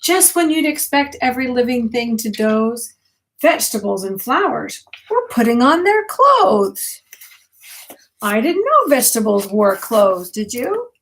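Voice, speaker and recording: Older woman, grandmother, slightly high voice, unhurried storybook reading, expressive, gentle asides to a child. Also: rough recording. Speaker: grandmother reading a picture book.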